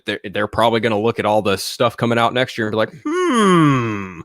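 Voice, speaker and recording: A man's voice: medium pitch, sing-song, brisk, fairly bright tone, no room sound, studio quality. A man bantering casually on a streamed panel.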